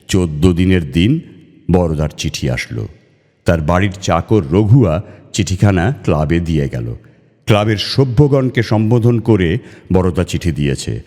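A man reading a literary story aloud in a measured, theatrical way, as a storyteller. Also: low-pitched, warm tone. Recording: long reverb over music. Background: music.

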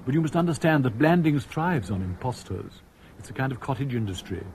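A man's voice: medium pitch, lively intonation, fairly quick, darkish tone, natural voice. A man with an English accent giving wry scripted narration for a radio comedy. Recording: slightly noisy old radio recording.

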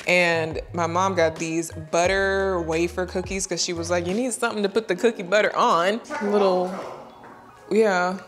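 A woman's voice: fairly low pitch, steady tone, moderate pace, soft background music. A young woman in her twenties, talking casually and thinking aloud as she shows and tastes her groceries, laughing often. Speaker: lifestyle vlogger. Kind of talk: casual haul and taste-test vlog. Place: car, then a home kitchen.

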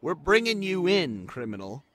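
A man's voice: on the high side, sing-song, normal speed, a bit bright, dry recording. A man voicing an eager, insecure spider companion who stammers, pleads and boasts in an amateur fantasy audio drama. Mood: bossy.

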